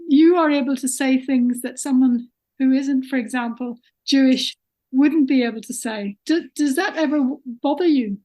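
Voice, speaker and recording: Woman podcast host, high pitch, soft dark timbre, measured questioning. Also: poor audio. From Ireland.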